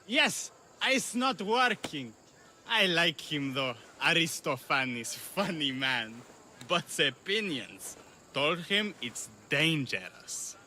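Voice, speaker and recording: High, slurring, drunken theatrical actor. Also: male voice, very animated, slow pace, pushed and bright, slight background noise, exaggerated voice. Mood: tipsy, amiable. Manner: slurred, florid, dreamy. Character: drunken, lovesick, theatrical male stage actor. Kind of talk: video game cutscene acting.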